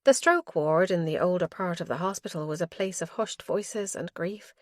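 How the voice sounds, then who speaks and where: A woman's voice: lowish voice, normal speed, dry recording, clean studio sound. A woman reading scripted narration as the narrator of a mystery audiobook.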